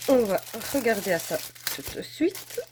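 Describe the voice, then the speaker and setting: A woman talks through an unboxing in a loose, chatty way. She is stuffed up from a head cold. She gushes over what she shows and fills her pauses with fillers. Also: mid-range voice, big pitch swings, background noise. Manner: chatty, gushing, filler-heavy. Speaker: crafts channel host. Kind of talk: casual unboxing chat.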